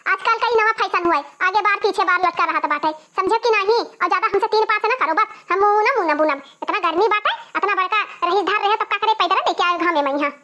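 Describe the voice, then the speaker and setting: A woman voicing a defiant young new bride in a cartoon comedy, arguing and complaining in a pitched-up voice with an Awadhi accent. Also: fast, few pauses, pushed and bright. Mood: angry, sassy. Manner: argumentative, complaining, mocking. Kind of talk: exaggerated cartoon comedy bickering.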